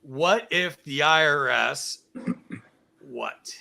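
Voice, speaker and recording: A man's voice: on the high side, extremely slow, gravelly, bright, forceful tone. A man hosting a casual online game show, joking, laughing often and reading out chat comments.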